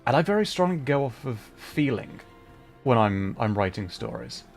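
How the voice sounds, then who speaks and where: A man's voice: medium pitch, very animated, normal speed, gravelly, a little mellow. Man chatting casually over game music. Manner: hesitant, thinking aloud, rambling explanations. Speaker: streamer. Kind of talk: casual livestream chat while gaming.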